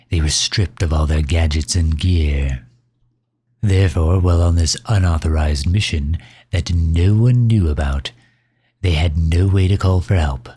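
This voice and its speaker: A man's voice: low-pitched, warm tone, breathy edge, no echo, studio quality. A man playing a pompous, suave megalomaniac villain in an ASMR audio roleplay, with leisurely, formal wording and impatience toward his servant.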